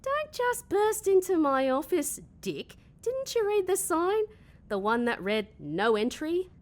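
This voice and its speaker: A woman's voice: very high voice, very animated, a little slow, full character voice. A woman playing a hungover, sarcastic office worker, irritable and blunt, in a comedy audio drama. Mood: irritated. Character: grumpy, hungover, sarcastic woman working weekend office shift.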